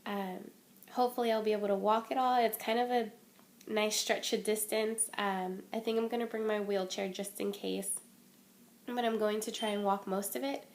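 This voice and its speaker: A woman's voice: medium pitch, fairly even intonation, moderate pace. Chatty young woman with a cold. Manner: chatty and candid, many ums and restarts. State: stuffy, slightly worn voice from a cold. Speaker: vlogger. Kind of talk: casual talking-to-camera update. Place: at home. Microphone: phone or webcam.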